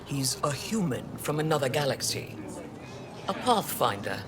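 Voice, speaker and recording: An actor playing a composed, guarded alien governor in a video game, speaking in a measured, formal and curt way. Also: male voice, medium pitch, sing-song, natural voice. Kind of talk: video game dialogue acting. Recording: game dialogue over noisy ambience.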